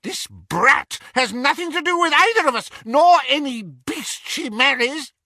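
A man's voice: extremely high pitch, expressive, unhurried, sharp tone, dry recording, clean studio sound, voice pushed up, full character voice. A man voicing a fanatical woman follower, crying out and desperate to please. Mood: indignant. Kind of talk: audiobook character voice.

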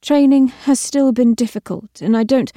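A woman's voice: on the high side, moderate pace, dark tone, dry recording, pitched above the performer's own voice, lightly acted. A woman voicing an earnest, anxious young female trainee in an audiobook story, careful and insistent. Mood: pleading.

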